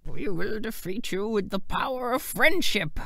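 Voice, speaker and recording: A man voicing a quirky, eager little creature inventor for a fantasy game, bookish and animated. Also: high voice, measured pace, vocal fry, no room sound, studio quality, exaggerated voice. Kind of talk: fantasy character voice demo.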